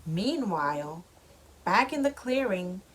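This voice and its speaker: A woman reading a storybook to a young child as a mother, with expressive delivery and simple explanations. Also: medium pitch, slow pace, husky.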